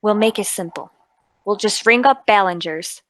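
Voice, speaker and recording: A woman playing a brisk, authoritative, businesslike Scotland Yard police inspector in a mystery radio play table read. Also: medium pitch, a bit bright, close to an everyday voice.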